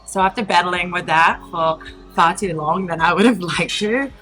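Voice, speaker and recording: A woman's voice: lowish voice, normal speed, a bit bright, soft background music. A woman in her thirties with a New Zealand accent, chatting as an interview guest with many fillers and frequent laughs. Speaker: guest, entrepreneur. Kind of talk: casual interview talk.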